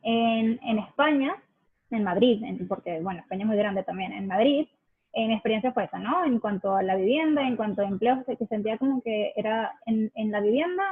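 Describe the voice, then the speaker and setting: A woman from Venezuela with a Venezuelan accent, speaking reflectively as a guest, with many pauses and restarts. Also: on the high side, breathy edge, no room sound, phone-quality sound. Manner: reflective, many fillers and self-corrections. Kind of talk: conversational interview.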